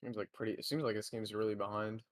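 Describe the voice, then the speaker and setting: A man playing along in voice chat, low-key and mostly asking quick questions. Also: level tone. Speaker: co-player in voice chat. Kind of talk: casual gaming chat.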